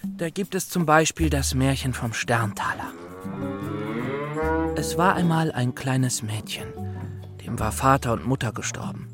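A man's voice: medium pitch, a little slow, pauses often, over loud music, close to an everyday voice. A man playing a timid, bookish young scholar in a children's radio drama, kindly, earnest and a little hesitant. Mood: storytelling. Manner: hesitant, earnest, slightly fussy.

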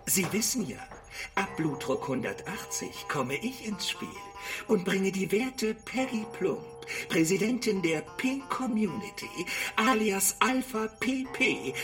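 An unhurried, mid-pitched female lifestyle coach over prominent music. She is fawning and showy, intoning flattery like an incantation in a children's radio play.

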